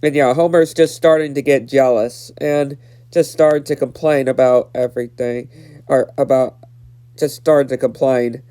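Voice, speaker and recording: A man's voice: medium pitch, fairly even intonation, normal speed, dark tone, smooth. A nineteen-year-old man with a stuffed-up nose, casually recapping a cartoon episode and repeating himself with lots of fillers. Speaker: episode reviewer.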